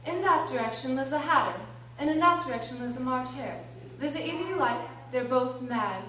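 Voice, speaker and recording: A woman's voice: high voice, lively intonation, fairly quick, a bit bright. A woman playing a mad, grinning cat who talks in teasing, matter-of-fact riddles in a storybook radio drama. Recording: echoey room, distant mic, faint noise.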